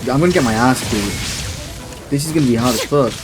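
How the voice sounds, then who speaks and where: A man's voice: medium pitch, fast talker. A young man giving casual gameplay commentary, slangy, blunt and foul-mouthed as he plays and reacts. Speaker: gamer playing and reacting. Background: loud game music.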